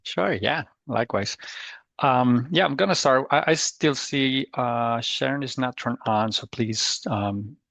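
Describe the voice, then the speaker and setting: A man with a Spanish accent gives a webinar talk over a video call, his delivery halting with frequent restarts and fillers. His voice sits at a middle pitch and sounds dry and close, with no room around it. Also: gravelly, fairly bright tone, no room sound. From Spain. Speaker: guest presenter. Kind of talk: webinar presentation. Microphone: computer mic on a video call.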